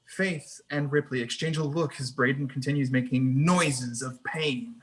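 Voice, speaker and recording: A narrator at a screenplay table read, with a voice of medium pitch. The delivery is scripted narration of scene headings and action. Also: fairly even intonation, measured pace, breathy edge. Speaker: narrator reading stage directions.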